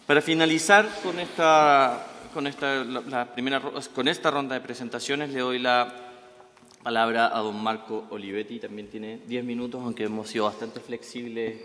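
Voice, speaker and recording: A man's voice: mid-range voice, steady tone, normal speed, pushed and bright. A man from Chile, moderating a panel in a conversational, easygoing way. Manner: conversational, light laughs. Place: large conference hall. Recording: echoey hall with faint ambience.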